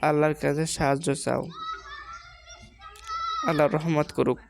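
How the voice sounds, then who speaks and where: A woman's voice: sits low, steady tone, unhurried, creaky, noisy background. A woman with a Bangladeshi accent giving informal moral advice in a didactic, questioning way, repeating her points.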